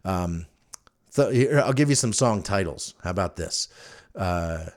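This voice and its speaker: A man's voice: low voice, animated, a little slow. Casual, rambling male podcast host. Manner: rambling, joking, frank. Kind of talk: casual solo podcast talk.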